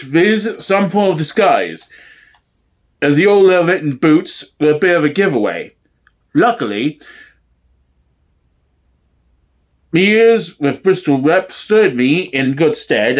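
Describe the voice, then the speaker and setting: A man puts on a self-important police superintendent who fancies himself an actor. The delivery is formal and dramatic, fairly high, with sweeping pitch, in mock-documentary style. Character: pompous, theatrical male police superintendent, master of disguise.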